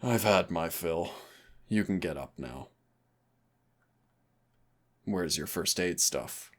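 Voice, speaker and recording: A man playing a male demon roommate in an intimate audio roleplay, gravelly and smug, teasing and menacing yet tender as he speaks straight to the listener. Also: expressive, full character voice. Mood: gentle. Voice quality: gravelly, low. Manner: slow, smug, teasing, addresses listener directly.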